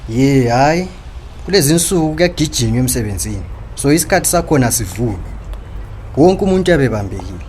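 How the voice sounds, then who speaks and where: A man's voice: medium pitch, expressive, quick pace, darkish tone, slight background noise, close to an everyday voice. A man with a Zimbabwean accent playing a concerned, respectful, frank working man in a radio drama. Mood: friendly, concerned. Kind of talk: radio drama acting in Ndebele.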